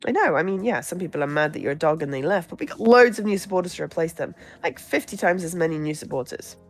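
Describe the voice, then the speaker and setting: A woman's voice: lowish voice, animated, quick pace, soft background music, voice pushed down. A woman voicing a positive, matter-of-fact campaign aide in a visual novel, blithe and consoling. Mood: upbeat.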